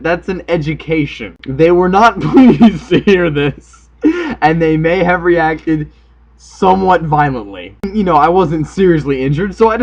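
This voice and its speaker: A man's voice: on the high side, dull audio. A man from Florida with a subtly Northern American accent, chatting casually and unscripted to camera in a wry, jokey, argumentative way. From Florida, United States. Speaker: online video host.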